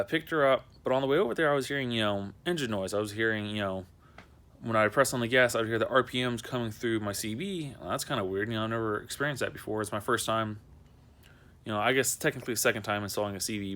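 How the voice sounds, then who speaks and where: A man's voice: lowish voice, quick pace, a bit bright. A young man talking in a casual, unscripted how-to vlog, rambling and repeating himself in a conversational way. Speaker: amateur video maker showing a car project.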